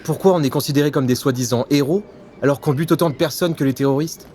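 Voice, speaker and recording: A man's voice: fairly high pitch, fast talker, warm tone, a little hiss or hum, close to an everyday voice. A man playing a weary, self-doubting veteran secret agent in a spy audio drama, earnest and brooding. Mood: casual, questioning.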